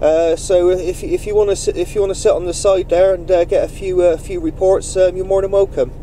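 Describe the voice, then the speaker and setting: A man running a CB radio net, chatting informally in radio jargon with halting phrasing. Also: high-pitched, steady tone, mellow, a little hiss or hum.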